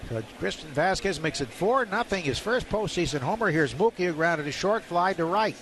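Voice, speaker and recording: A man's voice: high voice, lively intonation, moderate pace, a bit bright. A man doing live radio play-by-play commentary of a baseball game from a stadium booth. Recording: noisy outdoor stadium ambience.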